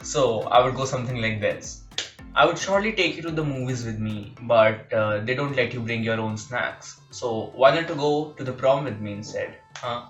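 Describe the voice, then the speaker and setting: A young man with an Indian English accent talks playfully to the camera, pausing now and then. The recording sounds dull and echoey, with music underneath. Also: medium pitch. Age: young adult. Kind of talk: casual to-camera chat.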